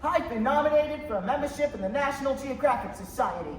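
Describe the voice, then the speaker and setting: A woman's voice: high-pitched, lively intonation, fast. A woman playing a cocky, adventurous twelve-year-old boy in a staged radio play. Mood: cocky, boyish. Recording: echoey stage from far off, noisy.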